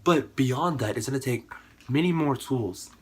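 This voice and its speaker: A man's voice: medium pitch, lively intonation, measured pace, slight background noise. A man with a cold and a partly lost voice, talking casually to camera in a rambling, conversational way. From United States. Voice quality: husky. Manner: rambling, conversational, with many fillers. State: sick, voice partly gone. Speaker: YouTuber.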